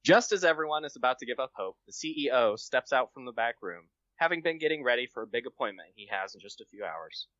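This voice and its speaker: A man's voice: medium pitch, lively intonation, fast talker, few pauses, a bit bright, no echo, dull audio. A man on a podcast reading his own comic short story aloud. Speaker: podcast guest, amateur writer.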